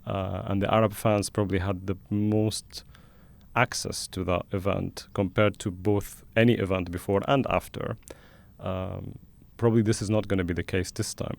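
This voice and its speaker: A man hosting a conversational interview, speaking in a thoughtful, halting way as he frames questions. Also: fairly low pitch, gravelly, darkish tone. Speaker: podcast host and interviewer.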